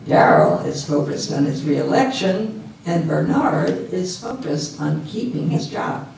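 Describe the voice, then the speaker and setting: A woman's voice: sits low, expressive, measured pace, vocal fry, a little mellow. A woman reading scripted narration for a stage readers theater. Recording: very echoey hall from far off, faint hiss.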